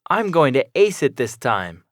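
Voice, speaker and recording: A man's voice: mid-range voice, big pitch swings, measured pace, no echo, clean studio sound. A man playing an eager, polite student who asks plain questions in a scripted classroom dialogue. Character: young adult male student, eager, polite.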